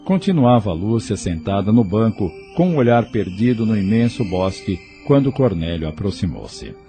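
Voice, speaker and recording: A male narrator reads a scripted audio novel. His voice is fairly low and varies widely in melody, with clear instrumental music behind it. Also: big pitch swings, normal speed, dark tone.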